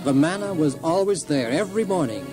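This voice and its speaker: A man's voice: a little high, expressive, a little fast. A man reading scripted storytelling narration for a dramatized children's Bible story. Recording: loud music and crowd sounds behind. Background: loud music and crowd.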